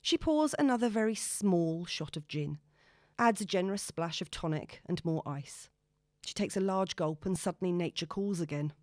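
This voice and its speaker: A woman's voice: lowish voice, expressive, moderate pace, a little mellow, dry recording, clean studio sound. A woman reading scripted novel narration as an audiobook narrator.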